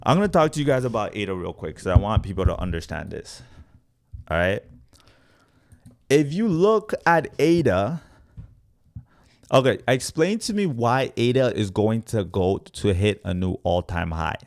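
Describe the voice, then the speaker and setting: Low, creaky-voiced man teaching. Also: animated, normal speed, a little mellow, no echo, clean studio sound. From born in Congo, Africa. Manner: emphatic, repeats points, checks in with "right?". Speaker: host, crypto trading educator. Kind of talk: live chart commentary, explaining to viewers.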